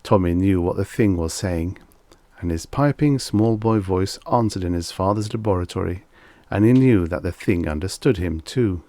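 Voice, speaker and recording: A man reading a scripted short story as an audiobook narrator, in a measured, expressive storytelling manner. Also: sits low, no room sound.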